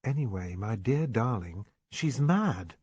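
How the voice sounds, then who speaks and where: A man voicing a loyal, tender young English woman in an audiobook novel, soft, questioning and troubled. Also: mid-range voice, lively intonation, takes it slowly, slightly rough, no room sound, muffled recording. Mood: tender, firm.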